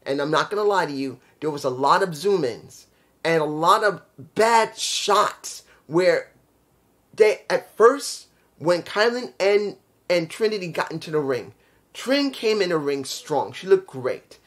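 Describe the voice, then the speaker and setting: A man's voice: a little high, animated, a little slow, a bit bright. A man with a New York accent, reviewing a wrestling show in a casual, rambling, blunt way, often repeating himself. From New York City. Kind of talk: casual solo video review.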